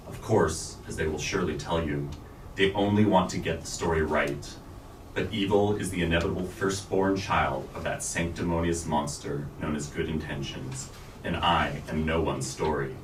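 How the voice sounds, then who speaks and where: A man with a very low voice reads literary prose aloud at a staged reading. His phrasing is deliberate and emphatic. The room echoes around him, the mic sits at some distance and there is faint noise. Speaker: reader at a staged book reading. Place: bookstore event space.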